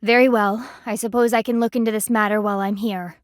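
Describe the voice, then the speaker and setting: A woman's voice: medium pitch, steady tone, fast, dry recording, clean studio sound, lightly acted. A woman voicing a stern, haughty panda army lieutenant in a video game, speaking in a formal, clipped, dryly disdainful way.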